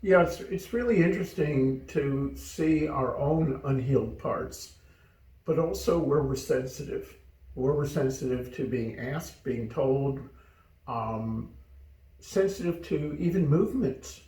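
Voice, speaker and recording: A man's voice: mid-range voice, a little slow, mellow. A man from the United States, co-hosting a casual chat show, joking and telling stories in a reflective way. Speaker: co-host. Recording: roomy sound, distant mic.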